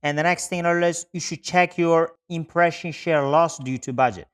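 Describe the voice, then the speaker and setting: Male tutorial presenter, slightly high voice, explanatory and repetitive, speaking straight to the viewer. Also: moderate pace, a bit bright, clean tone. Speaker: online marketing tutorial presenter. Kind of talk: instructional screen-share tutorial narration.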